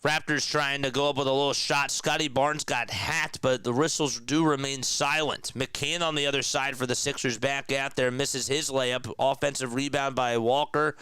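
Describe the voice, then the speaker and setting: Man, casual live basketball play-by-play, slightly raspy and nasal from a sore throat, smooth resonant tone, dry close mic. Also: medium pitch, bright, forceful tone, no echo. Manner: conversational, reads and answers chat comments. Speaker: play-by-play commentator.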